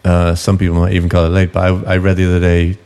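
A man's voice: sits low, monotone, quick pace, a little mellow, clean tone. A man co-hosting a casual interview, asking questions with frequent restarts. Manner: stumbling, many restarts. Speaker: podcast co-host.

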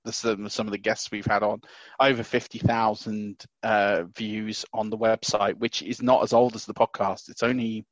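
A man co-hosting a podcast from home, talking loudly and excitedly, often restarting his sentences. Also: fairly low pitch, moderate pace, no echo, highs cut off. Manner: excitable, stumbling restarts, loud. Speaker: podcast co-host. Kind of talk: casual podcast chat. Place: small house.

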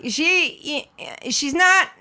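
Woman co-host, high and bright, a bit phlegmy, rambling and chatty. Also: very slow. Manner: chatty, rambling, lots of fillers. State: slightly phlegmy and hoarse. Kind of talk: casual comedy chat.

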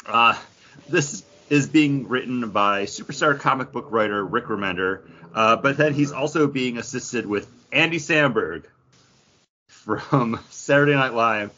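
A man's voice: mid-range voice, a little slow, pushed and bright, muffled recording, slight background noise. A man co-hosting a comic book podcast in casual, conversational chat.

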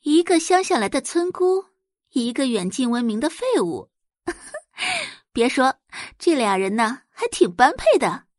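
A woman's voice: sits high, huge pitch swings, measured pace, no echo, studio quality, voice pushed up, exaggerated voice. A woman playing a petulant, spiteful wealthy wife in an audio drama, complaining and sneering in an exclamatory way. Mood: gleeful, mocking.

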